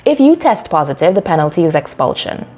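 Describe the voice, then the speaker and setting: A woman's voice: low voice, big pitch swings, fairly quick, mellow. Woman voicing a grave, troubled male club manager. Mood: grave, firm. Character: troubled middle-aged male sports club manager, principled, weary.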